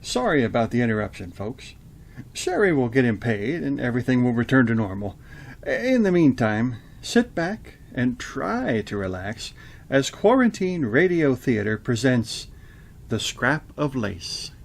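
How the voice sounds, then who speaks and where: A man acting as a genial but creepy radio theater host, with a theatrical, smoothly polite delivery. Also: medium pitch, big pitch swings, measured pace, heavily acted. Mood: smooth, reassuring. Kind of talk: old-time radio host introduction and acting.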